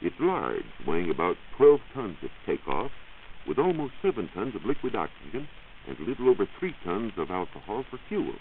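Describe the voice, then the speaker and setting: An American man giving a measured, explanatory science talk on 1940s radio as a reporter. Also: on the low side, very animated, phone-quality sound. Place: radio studio. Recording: hissy band-limited 1940s radio recording.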